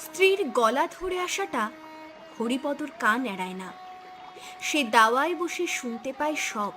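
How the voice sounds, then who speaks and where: Female cartoon narrator: high voice, dramatic storytelling, light music bed. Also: very animated, a little slow.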